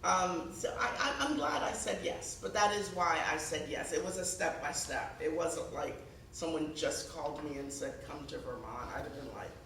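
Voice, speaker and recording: A woman's voice: low voice, normal speed, hardly any pauses. A middle-aged woman from Ghana who moved to the US as a child, giving a conversational public talk as an author, telling anecdotes and acting out the dialogue. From born in Ghana, moved to the US at six. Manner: animated storytelling, acts out dialogue. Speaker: guest speaker, author. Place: high school event space, indoors. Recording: echoey room, distant mic, background noise.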